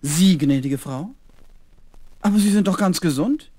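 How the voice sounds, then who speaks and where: A man playing a kindly doctor and father in a children's radio play, playfully courteous and gently teasing. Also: sits high, a little slow, slightly rough. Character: kindly fatherly doctor humoring his little daughter.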